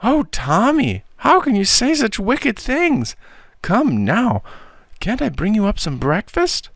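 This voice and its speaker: A man voicing a gentle, credulous storybook aunt of thirty, fussing and coaxing. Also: sits high, wildly swooping pitch, slow pace, no echo, voice pushed up, exaggerated voice. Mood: horrified, pleading.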